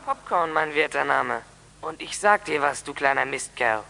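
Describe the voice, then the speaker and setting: A man's voice: fairly high pitch, animated, normal speed, gravelly, sharp tone, exaggerated voice, rough recording. An actor playing a menacing thief in a children's radio play, curt and sneering as he makes threats. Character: menacing male thief making threatening phone call. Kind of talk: 1980s German children's radio play acting.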